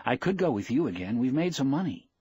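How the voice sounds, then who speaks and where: A man's voice: medium pitch, big pitch swings, quick pace, warm tone, slightly rough, no room sound, highs cut off, pitched above the performer's own voice. Man voicing an earnest, loyal young boy. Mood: earnest, eager. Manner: eager, respectful. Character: loyal, earnest, affectionate boy fishing apprentice.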